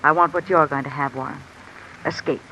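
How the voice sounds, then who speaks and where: A woman's voice: low voice, brisk, poor audio. A woman playing a calculating, discontented wife with an insinuating, controlled manner in 1950s radio mystery style. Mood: knowing, confident. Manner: insinuating, controlled, coolly commanding. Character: cool, calculating, discontented wife blackmailing a man. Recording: old radio recording with steady rain noise. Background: rain falling.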